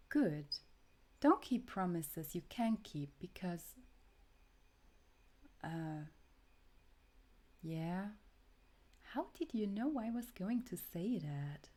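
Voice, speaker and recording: Slow, soft-voiced tender girlfriend, acted by a woman. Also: lowish voice. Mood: playful, loving. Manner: soft, breathy, often dropping toward a whisper. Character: tender, wistful time-traveling girlfriend speaking to her beloved. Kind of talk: intimate romantic audio roleplay, ASMR acting.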